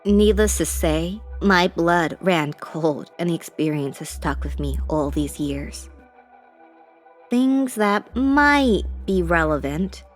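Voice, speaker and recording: A woman narrates a ghost story in a low-pitched voice. Music plays faintly behind her as she reads the scripted first-person account. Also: animated, slow. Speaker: narrator reading listener-submitted ghost stories. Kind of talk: scripted narration of scary stories.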